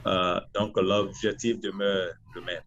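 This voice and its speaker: Beninese guy in his 40s getting interviewed, talks slow and deliberate, kinda muffled lofi sound. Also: low-pitched, flat delivery, fairly bright tone. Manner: halting, deliberate. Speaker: interview guest, lawyer. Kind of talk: answering interview questions.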